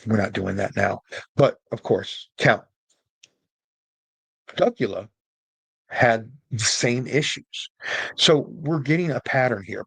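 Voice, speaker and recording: A man's voice: lowish voice, gravelly, breathy edge, no room sound, rough recording. A man hosting a casual, humorous talk on media, conversational and jokey with emphatic asides. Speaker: host, storyteller presenting to an audience.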